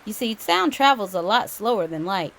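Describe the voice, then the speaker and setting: A woman's voice: mid-range voice, sing-song, moderate pace, vocal fry, fairly bright tone. A woman voicing an easygoing, reassuring father bear in a storybook, explaining things matter-of-factly.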